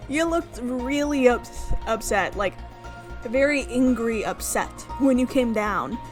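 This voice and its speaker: A woman doing expressive let's play commentary, mixing dramatic reading of a visual novel with casual, reactive asides. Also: fairly high pitch, takes it slowly. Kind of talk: game commentary mixed with dramatic read-aloud. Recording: music playing behind the voice.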